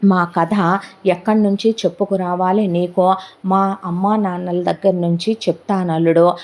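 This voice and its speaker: A woman's voice: lowish voice, steady tone, darkish tone, close to an everyday voice. A woman playing a sorrowful middle-aged uncle. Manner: slow, heavy storytelling.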